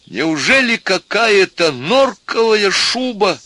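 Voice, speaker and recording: Here is a man playing a tipsy, desperate professor in an audio story. He pleads in a high, sharp wail, with wide melodramatic swings. He sounds forever on the edge of hysterics. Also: sing-song, slow pace, creaky, husky, no echo, full character voice. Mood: imploring. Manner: wailing, imploring, melodramatic.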